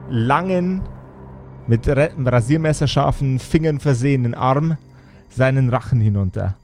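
A man narrating an improvised tabletop game as game master, in measured, suspenseful phrases. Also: medium pitch, darkish tone. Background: background music.

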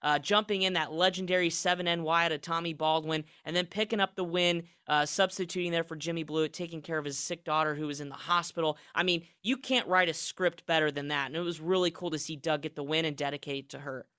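Bright, upbeat male podcast host. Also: fairly high pitch, fairly even intonation, fast, hardly any pauses, clear, resonant tone. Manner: upbeat, chatty, with fillers and restarts. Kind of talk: casual sports talk. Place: studio.

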